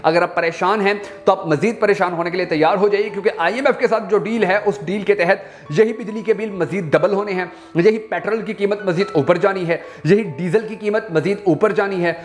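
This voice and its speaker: A man's voice: sits high, a little fast. A man with a Pakistani accent presenting news commentary to viewers, speaking emphatically and piling up repeated phrases for rhetorical effect. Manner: emphatic, repetitive rhetorical build-up. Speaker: YouTube news channel host.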